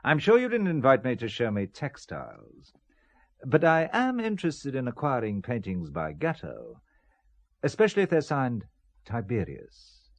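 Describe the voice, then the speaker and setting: An actor playing a dry-witted amateur spy posing as a buyer in a thriller audio drama, wry, needling and self-possessed. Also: male voice, mid-range voice, wildly swooping pitch, normal speed, dry recording. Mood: probing.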